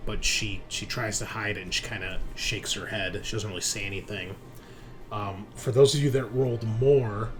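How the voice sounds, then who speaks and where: A man working as game master, narrating a roleplaying game in an informal, halting way with some swearing. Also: fairly low pitch, a bit bright.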